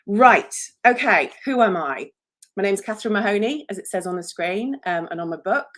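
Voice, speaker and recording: A woman's voice: medium pitch, expressive, moderate pace, fairly bright tone. A woman in her forties with an English accent, an author chatting casually on a solo livestream, self-deprecating and jokey, with frequent ums. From England. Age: 40s.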